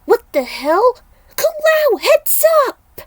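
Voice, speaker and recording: A woman's voice: huge pitch swings, slow, no room sound, full character voice. A woman voicing an urgent, alarmed young heroine in a fantasy story. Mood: alarmed shout. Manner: urgent, high and loud.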